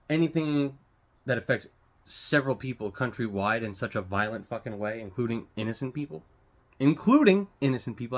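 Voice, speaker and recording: An American man giving an unscripted, blunt opinion rant, swearing heavily and repeating phrases for emphasis. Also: mid-range voice. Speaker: vlogger giving commentary.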